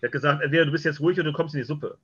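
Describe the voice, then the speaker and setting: A man's voice: fairly high pitch, very fast, fairly bright tone, breathy edge, dull audio. A middle-aged man telling casual anecdotes as an interview guest on a video call from home. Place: home room lined with shelves, on a video call.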